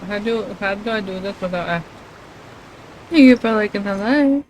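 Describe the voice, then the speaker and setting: A woman's voice: mid-range voice, normal speed, lo-fi sound, noisy background. A woman giving casual game stream commentary, muttering and swearing to herself. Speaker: livestreamer.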